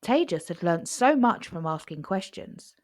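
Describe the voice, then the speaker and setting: A woman narrating a children's picture book in an expressive storytelling style. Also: fairly low pitch, a little slow, no room sound, studio quality.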